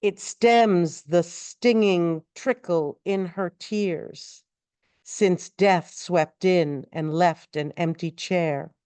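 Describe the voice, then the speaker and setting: Woman, smooth and slightly low voice, deliberate expressive poetry reader, unhurried delivery. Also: no echo. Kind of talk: measured poetry recitation.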